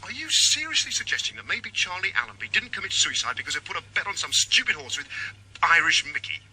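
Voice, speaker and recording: An actor playing an impatient, scornful police detective in a 1980s radio drama, with hiss behind him. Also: male voice, high-pitched, big pitch swings, fast, bright, forceful tone, exaggerated voice, poor audio. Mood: exasperated. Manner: curt, exasperated and scornful. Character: impatient police detective, middle-aged man, dismissive.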